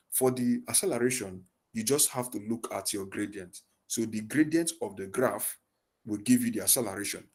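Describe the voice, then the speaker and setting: A man runs an online physics lesson through a headset on a video call. His voice sits fairly low, and he quizzes his students as he goes, answering the questions himself. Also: breathy edge. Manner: asks leading questions and fills in the answers. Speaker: physics tutor.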